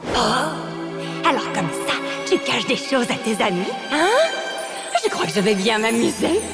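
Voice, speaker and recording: A woman's voice: on the high side, big pitch swings, measured pace. A woman playing a scheming, spiteful shadowy villainess in a dubbed cartoon, gloating menacingly between evil cackles. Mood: gloating, threatening. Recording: loud music bed under the voice.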